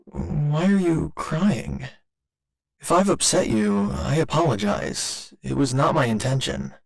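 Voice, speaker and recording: A man voicing a gentle robot boyfriend in an ASMR roleplay, with formal, clinical phrasing delivered tenderly and a little hesitantly. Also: a little high, animated, takes it slowly, creaky, heavily acted. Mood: confused, tender. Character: gentle male robot boyfriend learning to feel love.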